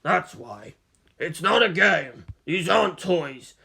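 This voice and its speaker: A man voicing a weary, blunt old gunsmith in his seventies with a gravelly voice and a matter-of-fact manner, in a storybook reading. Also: on the high side, very animated, slow pace, bright, forceful tone, heavily acted. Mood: weary, solemn.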